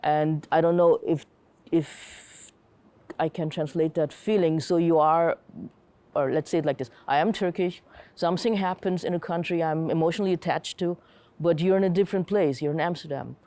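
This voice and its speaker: A woman's voice: deep voice, fairly even intonation, normal speed, mellow. A middle-aged woman from Germany, telling personal stories in a casual, reflective interview style. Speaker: interviewee, comic artist and curator.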